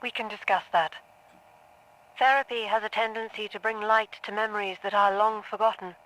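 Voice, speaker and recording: An actress playing a composed, clinical female therapist in an audio drama, speaking in a measured and neutral way. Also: medium pitch, steady tone, a bit bright, natural voice, low-quality recording. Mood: detached, clinical.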